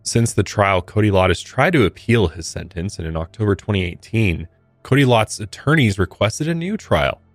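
Man, American accent, low voice, podcast host, true crime narration, dry close sound. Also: expressive, normal speed, no echo, soft background music.

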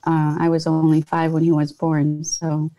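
A woman's voice: deep voice, monotone, normal speed, warm tone, low-quality recording. A woman in her fifties, from Poland, speaking earnestly as a guest entrepreneur in an interview, with many fillers and restarts. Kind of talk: conversational interview.

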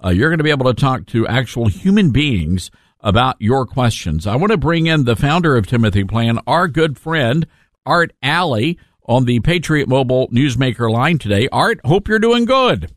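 A male radio talk show host with a very lively, swinging delivery and a mid-range voice. He comments in a sardonic, emphatic, joking way, conversational and aimed at listeners, in a dry studio sound. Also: mid-range voice, normal speed, no echo.

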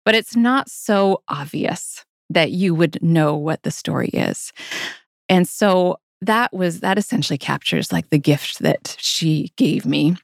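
Creaky-voiced woman podcaster, close and dry. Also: lowish voice, unhurried, dry recording. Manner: earnest, reflective storytelling. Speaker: podcast co-host. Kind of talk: reflective casual podcast conversation.

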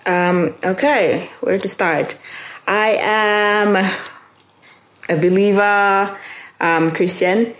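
A woman's voice: mid-range voice, drawn-out words, long pauses. A woman in her twenties from Zambia, a guest answering interview questions in a talkative, hesitant way with many fillers. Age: 20s. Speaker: guest, law student. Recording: echoey room.

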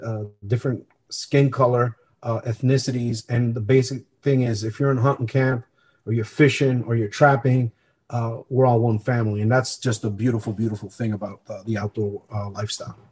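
A middle-aged man raised in New Jersey speaks as a guest in an interview. His delivery is conversational and earnest, with a medium-pitched voice that moves along like personal storytelling. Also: steady tone, a little fast, darkish tone, slightly rough. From born in Colombia, grew up in New Jersey.

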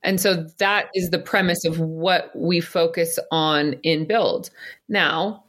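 A woman's voice: fairly low pitch, steady tone, slow, a bit bright. A middle-aged woman being interviewed, speaking earnestly and fluidly about spiritual topics, often breaking into laughs. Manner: earnest, flowing, laughs easily. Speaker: podcast guest, psychic and business coach.

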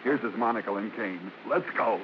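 An actor playing a bustling, wisecracking member of a vaudeville troupe, in old-time radio style. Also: male voice, lowish voice, moderate pace, close to an everyday voice. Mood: bustling, teasing. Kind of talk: 1940s-50s radio acting. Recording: dull, very noisy old radio broadcast.

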